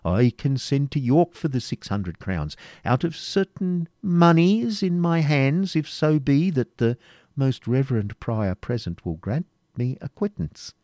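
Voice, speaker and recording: A man's voice: mid-range voice, animated, unhurried, dark tone, no room sound, studio quality, natural voice. A man voicing an anxious, obsequious and crafty moneylender held captive, with hesitant, repeated words and sighing interjections. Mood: ingratiating.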